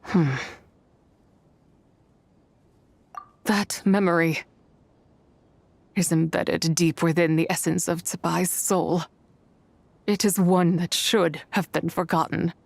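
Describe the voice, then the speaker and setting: A woman's voice: fairly low pitch, steady tone, gravelly, exaggerated voice. A woman voicing an ancient imprisoned spirit in a fantasy game, bitter and menacing, with solemn, imperious, archaic phrasing. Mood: pensive. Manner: slow, imperious, solemn, archaic formal speech. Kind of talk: theatrical fantasy game voice acting.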